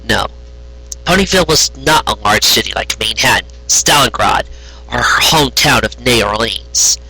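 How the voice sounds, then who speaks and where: Male narrator, very bright forceful tone, husky edge, reading fiction aloud. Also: mid-range voice, animated, normal speed, low-quality recording. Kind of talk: scripted fan fiction narration.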